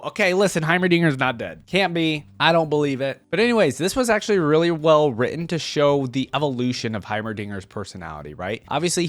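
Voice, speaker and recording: A man's voice: mid-range voice, no echo. A man reading an article aloud for a reaction video, adding casual conversational asides as he goes.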